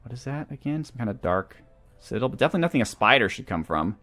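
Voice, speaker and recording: A man's voice: mid-range voice, sing-song, very fast, bright, forceful tone. A man hosting a gaming channel, talking through a strategy game and thinking aloud as he goes. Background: video game music.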